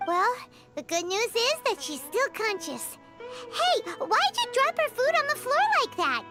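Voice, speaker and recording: An excitable little fairy girl for a video game, with an extremely high, squeaky, childlike voice. She chatters in exclamations and swoops widely in pitch, with music playing loudly behind her. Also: a little slow, exaggerated voice. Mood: curious, concerned. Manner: refers to herself by name, exclamatory. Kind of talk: video game character acting.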